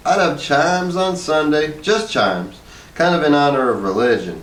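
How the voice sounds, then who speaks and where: A man voicing a simple, sweet, chatty middle-aged wife with folksy, drawn-out phrasing in a storybook reading. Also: on the high side, fairly bright tone, voice pushed up, lightly acted. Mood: eager. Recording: roomy, distant mic with faint noise.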